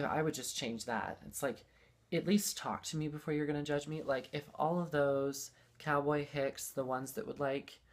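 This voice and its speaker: A young adult speaker telling personal stories in a casual, heartfelt way, rambling and repeating phrases for emphasis. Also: medium pitch.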